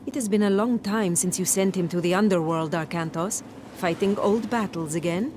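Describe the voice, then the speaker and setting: Woman as a low-voiced, solemn goddess. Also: normal speed, close to an everyday voice. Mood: solemn warning. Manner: grave, portentous. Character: solemn goddess speaking warnings in a dream. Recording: noisy game cutscene capture.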